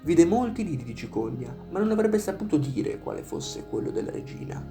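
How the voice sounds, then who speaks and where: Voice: mid-range voice, big pitch swings, fairly quick, dark tone. A storyteller reading a scripted fairy tale narration over instrumental music.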